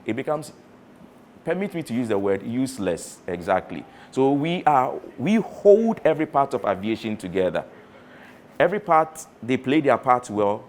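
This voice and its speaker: A man explaining his work as an air traffic controller to visiting students in an emphatic, instructive way, often checking they follow. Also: mid-range voice. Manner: emphatic, instructive, checks understanding with tag questions.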